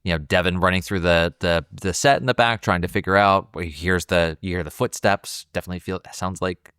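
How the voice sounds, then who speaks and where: A man's voice: low-pitched, fairly even intonation, a little fast, fairly bright tone, clean tone, no echo, studio quality. A man co-hosting a film podcast, casually analysing scenes as he thinks aloud. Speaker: podcast co-host discussing a film.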